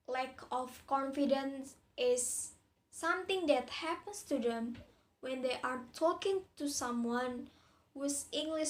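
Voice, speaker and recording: Slow, high-voiced Indonesian woman presenter. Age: young adult. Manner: slow, deliberate reading with pauses. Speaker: student presenter. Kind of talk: read-aloud academic presentation.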